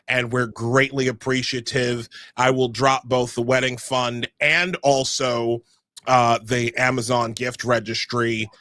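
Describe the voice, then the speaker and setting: A man's voice: mid-range voice, pushed and bright, no echo. A young adult man hosting a live podcast, speaking energetically with frequent filler sounds as he runs announcements and introductions. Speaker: show host.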